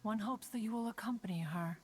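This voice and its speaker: A woman's voice: medium pitch, fairly quick, breathy edge, close to an everyday voice. A woman voicing an ancient, dignified, motherly female immortal in a video game, with stately, archaic formal speech. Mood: solemn, concerned. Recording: soft game music behind the voice.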